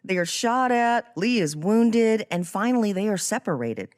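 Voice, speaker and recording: A woman's voice: medium pitch, lively intonation, moderate pace, darkish tone. A woman hosting a podcast, presenting and asking questions in a scripted interview style. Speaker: podcast host.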